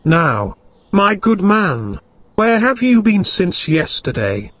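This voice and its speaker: A man's voice: sits high, big pitch swings, slow pace, a bit bright, no room sound, full character voice. A man playing a probing, persuasive stranger, a magician in disguise, in a storybook tale, with birdsong and noise behind. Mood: prying. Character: smooth-talking, prying male stranger, a disguised magician. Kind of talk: storybook character acting.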